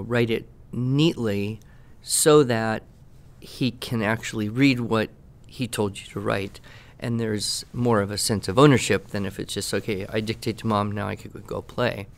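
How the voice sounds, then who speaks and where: A man in casual podcast talk, giving teaching advice in a measured, deliberate, dryly playful way. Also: on the low side. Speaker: podcast guest expert answering questions.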